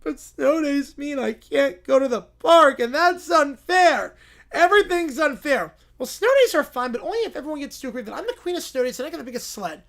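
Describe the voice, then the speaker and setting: A bratty small child acted out by a woman whines in a high voice with big pitch swings. The child is weepy and petulant, complaining in cartoon-skit style. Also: animated, brisk.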